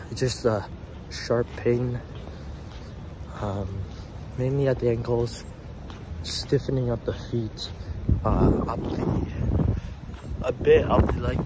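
A young man with a hoarse, sore voice, talking to a phone held at arm's length as he limps outdoors at night, groaning now and then in pain. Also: on the low side. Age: young adult. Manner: groaning, talking while limping. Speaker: vlogger. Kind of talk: casual walking vlog. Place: outdoors at night on a city campus. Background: street traffic.

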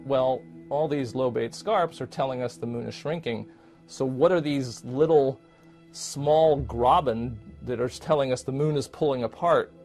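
A middle-aged man explains findings like a scientist being interviewed. His voice is mid-pitched with noticeable creak, and music plays softly behind him. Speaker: research scientist. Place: indoor office.

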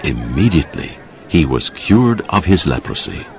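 Very deep, gravelly male narrator. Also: sing-song, moderate pace, a faint crowd. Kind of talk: scripted narration of dramatised audio Bible.

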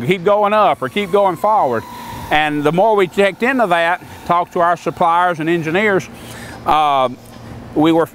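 A man's voice: on the high side, a little hiss or hum. Older man demonstrating machinery outdoors. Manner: plain-spoken, folksy, repeats words when restarting. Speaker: sawmill maker explaining equipment. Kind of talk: casual outdoor equipment demonstration. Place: outdoors on a grassy lot beside a sawmill.

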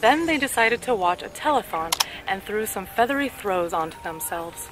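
A woman in her thirties reading a scripted story aloud with deliberate, carefully articulated delivery. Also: medium pitch, lively intonation, low-quality recording. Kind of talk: scripted story read-aloud for speech practice. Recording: clear background music under the voice. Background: music.

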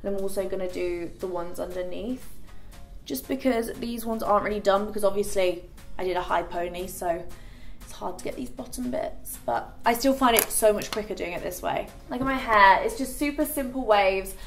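A woman's voice: medium pitch, normal speed. Brief: young woman, beauty vlogger, chatty and rambling, light music under the voice. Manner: chatty, filler-heavy with frequent "like". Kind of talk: casual chatty get-ready-with-me vlog. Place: bright living room set.

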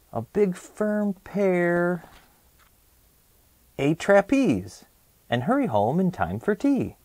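Man voicing a high, muddled young storybook boy. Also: darkish tone, dry recording, heavily acted. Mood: trying to remember. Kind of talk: children's picture book read-aloud.

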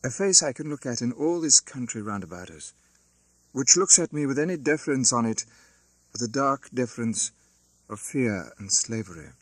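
A man voicing a grave, principled young gentleman in an audiobook, formal and restrained. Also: mid-range voice, very animated, moderate pace, dry recording. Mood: grave reproach. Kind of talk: audiobook character acting.

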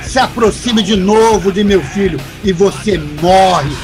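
A man's voice: high-pitched, unhurried, over music. A man playing a brutal, threatening middle-aged father in an audio drama, cursing and barking orders.

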